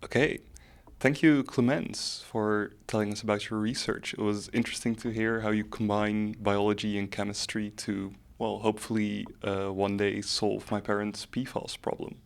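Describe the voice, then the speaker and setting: Male student interviewer, twenties, low voice with heavy vocal fry, asking podcast questions. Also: level tone, normal speed.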